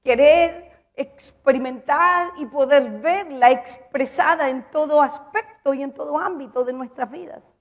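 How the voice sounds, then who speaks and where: Woman preacher with a high voice, emphatic and repetitive, asks the congregation questions. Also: a little slow. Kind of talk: sermon.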